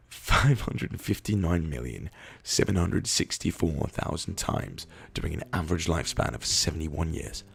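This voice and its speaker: A young man with an extremely deep voice, full of heavy fry and gravel. He reads aloud in a mock-serious, rambling way and swears freely. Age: young adult. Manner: foul-mouthed. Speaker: YouTube host reading internet posts aloud. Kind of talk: dramatic mock-serious reading and rambling commentary.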